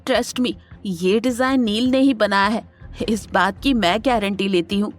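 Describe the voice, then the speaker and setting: A woman's voice: medium pitch, unhurried, soft background music, close to an everyday voice. A woman playing a stern, authoritative elderly matriarch and businesswoman in an audio drama, firm and insistent. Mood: insistent, pleading. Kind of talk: Hindi audio drama acting.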